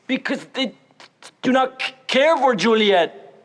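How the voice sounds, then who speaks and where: A student actor playing a gentle, earnest, deformed young man who stammers and speaks haltingly in a stage play. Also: medium pitch, animated, natural voice. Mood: insistent. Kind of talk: high school stage play acting. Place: school theater stage. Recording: echoey theater hall.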